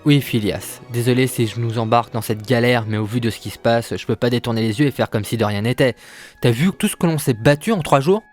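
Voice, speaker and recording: A man's voice: mid-range voice, fast, natural voice. A man playing an earnest, protective mercenary leader in an amateur audio drama, casual and sincere. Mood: earnest, resolute. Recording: faint music behind the voice.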